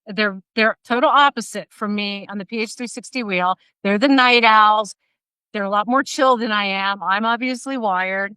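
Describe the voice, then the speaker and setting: Excited woman podcast host in her 50s, bright tense voice, dry sound from her laptop at home. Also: a little high, smooth, no room sound, rough recording. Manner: energetic, laughing often, animated hands. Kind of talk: casual interview chat. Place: bright room at home, indoors. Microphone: video call, laptop mic.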